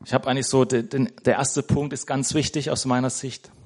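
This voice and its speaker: Male lay preacher, mid-range voice, loose and conversational delivery in a church, stumbling over words and tagging lines with a quick question. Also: medium pitch, little pitch movement, breathy edge. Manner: conversational, tags nearly every sentence with "ne?"; searching for words, restarting sentences. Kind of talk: informal sermon.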